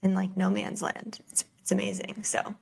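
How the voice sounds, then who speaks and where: A woman's voice: mid-range voice, completely flat delivery, moderate pace, creaky, darkish tone, husky. A woman hosting a casual finance podcast, upbeat and welcoming as she chats with a guest. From Florida. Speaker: podcast host.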